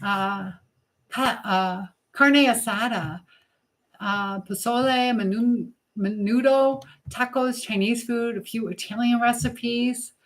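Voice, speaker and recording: Lady w a highish voice rambling casually on a livestream from her kitchen, pretty echoey. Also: slow. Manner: informal, rambling, reading out and answering comments. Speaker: livestream host chatting with viewers. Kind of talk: casual live chat while baking. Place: home kitchen. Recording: echoey room with clear background noise.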